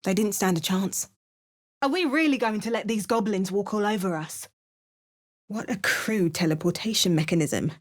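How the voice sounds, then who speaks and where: A woman's voice: mid-range voice, normal speed, close to an everyday voice. A woman voicing a wry young adventurer heroine. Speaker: player character voice. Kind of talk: video game voice lines.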